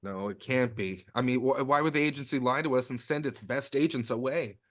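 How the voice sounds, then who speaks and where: A man's voice: mid-range voice, brisk, a bit bright, lightly acted. A man playing an earnest, profane secret agent in a comedic table read. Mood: suspicious.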